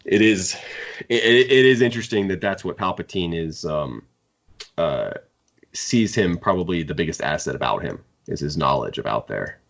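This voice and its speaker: A man with a very deep voice chats as a podcast host. He speaks in a halting, stammering way, looping back over his phrases. The recording is a little dull and lo-fi. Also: lively intonation, creaky, a bit bright. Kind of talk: casual podcast discussion. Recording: dull, lo-fi sound.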